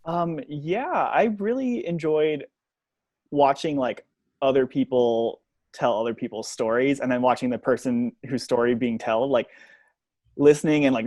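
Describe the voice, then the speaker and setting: A relaxed man shares his experience in a class discussion, stringing thoughts together with "like". The sound is dry and close. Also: medium pitch, no echo. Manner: relaxed, loose phrasing with "like". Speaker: teacher and co-leader.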